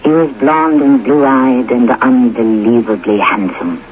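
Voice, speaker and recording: A man hosting a radio drama, narrating in a deliberate, philosophical, rhetorical scripted style. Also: medium pitch, a little hiss or hum. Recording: dull old radio recording.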